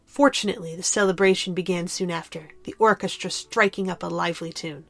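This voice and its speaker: A woman's voice: on the low side, fairly even intonation, fairly quick, creaky. A woman reading scripted story narration as the narrator of an audio drama.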